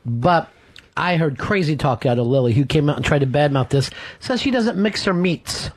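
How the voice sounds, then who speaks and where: Teasing American radio host, rambling banter. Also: male voice, on the high side, expressive, normal speed. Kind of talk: casual radio chat.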